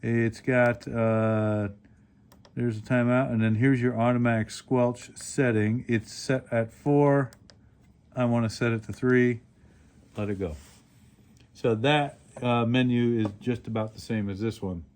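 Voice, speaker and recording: A man hosting a casual product demonstration, explaining things step by step in a plain-spoken, halting way. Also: mid-range voice, steady tone, a little mellow, smooth. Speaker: radio reviewer and host.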